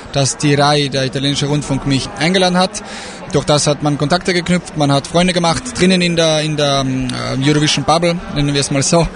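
A man from Austria talks up his events in a keen, filler-heavy interview at a trade fair. His voice sits a touch high. A crowd murmurs loudly behind him. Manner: eager, fast talk with many fillers. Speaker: event organizer.

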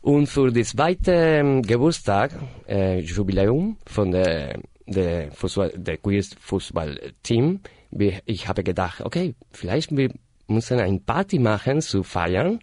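Man in his thirties, deep and unhurried, hesitant German, casual interview guest. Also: sing-song, darkish tone, dry recording, studio quality. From Argentina. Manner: halting non-native German, many fillers and self-corrections. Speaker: guest, party organizer.

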